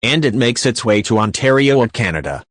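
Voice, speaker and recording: A man's voice: mid-range voice, lively intonation, a little fast, dry recording, clean studio sound. A man reading a scripted news-style public announcement.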